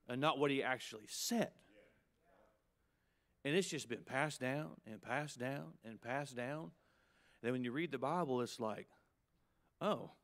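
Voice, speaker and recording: Emphatic preacher in his fifties. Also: mid-range voice. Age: 50s. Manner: emphatic preaching with rising, punchy peaks and quick asides. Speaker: pastor. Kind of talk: sermon to pastors.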